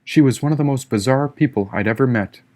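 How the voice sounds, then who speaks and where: Expressive male audiobook narrator, mid-pitched. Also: fast talker. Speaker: author reading own audiobook. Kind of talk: dramatic first-person audiobook narration.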